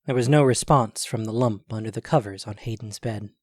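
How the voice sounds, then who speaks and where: A man narrating a scripted audio drama as a storyteller, in a measured way. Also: lowish voice, dry recording, clean studio sound. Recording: loud music behind voice. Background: music.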